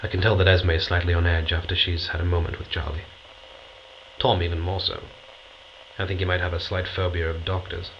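A man's voice: low voice, flat delivery, a little fast. An actor playing a dry, understated astronaut scientist narrating his log in an audio drama. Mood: dry, reflective. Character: dry, matter-of-fact male astronaut scientist keeping a log. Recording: dull, noisy recorded log.

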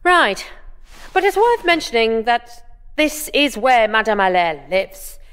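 Plucky English female reporter, audio drama; higher voice, animated, teasing and wry. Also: slow pace, clean tone, exaggerated voice. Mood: wry, uneasy. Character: plucky, cheeky English woman freelance journalist. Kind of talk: full-cast audio drama acting.

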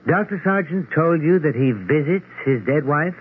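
An actor playing a sensible, skeptical friend in a radio drama, asking brisk, polite, probing questions. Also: male voice, a little high, lively intonation, close to an everyday voice. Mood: reassuring, probing. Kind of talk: 1970s radio drama acting. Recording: tinny, noisy old radio broadcast.

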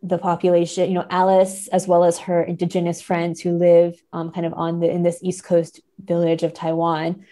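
A woman's voice: on the low side, monotone, moderate pace, few pauses, warm tone. A woman guest on a podcast, explaining literature analytically while searching for her words.

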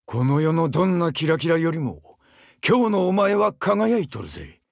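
Brash big-hearted young sailor for a mobile game: southern Japanese dialect, high-set husky voice, rustic and boisterous. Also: male voice, sing-song, moderate pace, dry recording, studio quality, heavily acted. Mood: excited, boisterous. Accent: southern Japanese dialect, Kagoshima-like. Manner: boisterous, rustic, swinging from bashful murmurs to hearty shouts. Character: brash, warm-hearted young muscular seaman, eager big-brother type.

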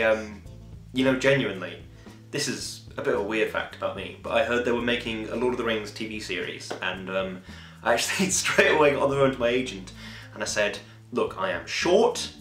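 A man's voice: on the low side, a bit bright. A man in his twenties giving a casual, rambling, enthusiastic review to camera, with a self-deprecating and digressive manner. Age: 20s. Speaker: vlogger and podcast host. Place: small home room, indoors. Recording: echoey room with music behind.

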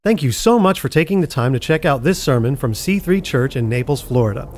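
A man's voice: mid-range voice, lively intonation, brisk, a little mellow, slight background noise. A man reading a scripted church announcement as a voice-over. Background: music.

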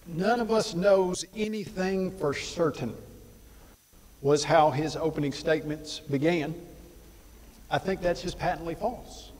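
A man's voice: fairly high pitch, unhurried, darkish tone. A middle-aged man giving an emphatic, argumentative debate rebuttal at a podium mic in a large auditorium. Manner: emphatic, argumentative, gestures while speaking. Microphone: podium mic on a stand. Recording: long hall reverb.

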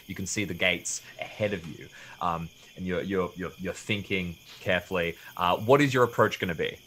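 A man's voice: deep voice, moderate pace, a bit bright, rough recording. Young man running a tabletop game. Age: young adult. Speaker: game master. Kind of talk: narrating a tabletop horror game. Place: small room at home. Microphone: video call setup.

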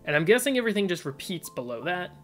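A man's voice: high voice, very animated, fast, soft background music. A young man streaming from his desk, casually thinking aloud as he solves puzzles and reads viewers' suggestions. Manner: reads chat suggestions aloud, tentative musing. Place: indoor room at desk.

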